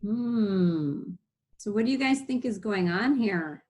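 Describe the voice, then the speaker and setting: A woman teacher reading a picture book aloud, pausing to explain things simply to young listeners. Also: mid-range voice, expressive, darkish tone.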